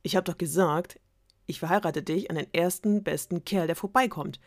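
A woman's voice: lowish voice, moderate pace, no room sound, clean studio sound, lightly acted. A woman voicing a fed-up old king who speaks firmly to his daughter. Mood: stern. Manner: firm, emphatic.

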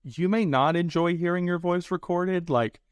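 A man with a Southern accent hosting a podcast, talking in a relaxed, rambling way with lots of "like" and "it's like". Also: high voice, moderate pace, clean tone, no room sound, clean studio sound. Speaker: podcast host. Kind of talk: casual podcast chat.

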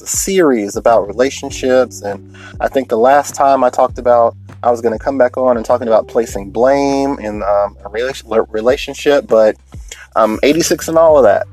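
A deep-voiced man talking alone in a late-night podcast from inside a parked car, sniffling a little as he gets over a cold. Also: moderate pace, a little mellow, soft background music, low-quality recording. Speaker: podcast host.

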